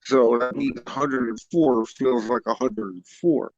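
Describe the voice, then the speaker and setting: Congested gravelly man, blunt and slow. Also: mid-range voice, no echo. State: congested, coughing. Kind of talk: casual chat. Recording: dull dry lo-fi recording.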